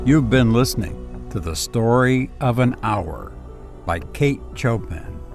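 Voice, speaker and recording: Slow, measured male story narrator. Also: mid-range voice, expressive, a little mellow, soft background music. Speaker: audiobook narrator. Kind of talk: scripted literary story narration.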